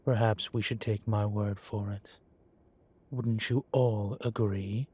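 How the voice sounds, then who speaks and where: Slow, low-voiced urbane demon professor, played by a man. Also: fairly even intonation, warm tone, slightly rough. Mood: dry, lecturing. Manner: measured, formal, deliberate pauses, genteel. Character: urbane male demon professor, erudite, wryly amused, unhurried. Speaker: professor lecturing a class. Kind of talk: scripted audio roleplay, lecture and conversation.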